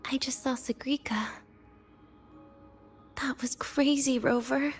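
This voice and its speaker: A weary young woman in a video game, her voice fairly high and breathy. She speaks languidly, with slow, guarded, teasing cynicism that often sinks toward a whisper. Music plays behind her. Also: fairly even intonation. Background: background music.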